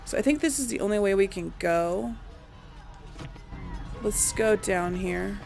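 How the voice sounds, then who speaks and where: A woman's voice: mid-range voice, expressive, a little slow, creaky, a little mellow, soft background music. A woman giving casual gameplay commentary, thinking aloud to viewers and often trailing off. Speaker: let's play host.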